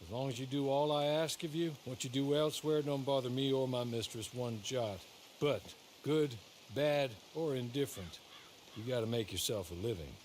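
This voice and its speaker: An actor playing a businesslike American frontier go-between in a western video game, folksy and measured. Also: male voice, mid-range voice, darkish tone, slight background noise, natural voice. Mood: measured, persuasive. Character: businesslike frontier male go-between for a crime boss. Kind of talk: video game cutscene acting.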